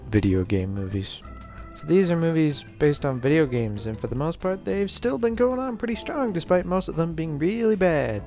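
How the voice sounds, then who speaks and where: A man's voice: on the high side, sing-song, normal speed, dark tone. A man giving casual scripted commentary in a sarcastic, informal manner. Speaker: host of a video essay series. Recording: background music under voice. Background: music.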